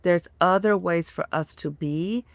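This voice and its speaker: A woman in her fifties speaks with a gravelly, fry-touched voice, close to the mic in a dry room. She explains things earnestly and sometimes acts out people's worried thoughts. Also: lowish voice, a little slow, no echo. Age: 50s. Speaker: guest psychologist. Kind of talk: casual podcast discussion.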